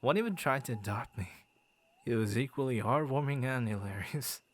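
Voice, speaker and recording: A man's voice: mid-range voice, moderate pace, close to an everyday voice. A man voicing a friendly, bashful werewolf who guards his forest, stammering and playfully theatrical, in an ASMR boyfriend roleplay. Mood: amused, chuffed.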